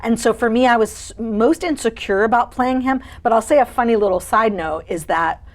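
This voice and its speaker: Expressive woman telling stories. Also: on the high side, moderate pace. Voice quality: feminine voice. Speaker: audiobook narrator and voice actor. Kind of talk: casual interview chat.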